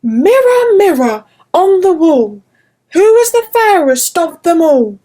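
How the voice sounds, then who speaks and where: A woman voicing a proud, jealous wicked queen in a storybook, chanting high. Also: big pitch swings, takes it slowly, warm tone, heavily acted. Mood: vain.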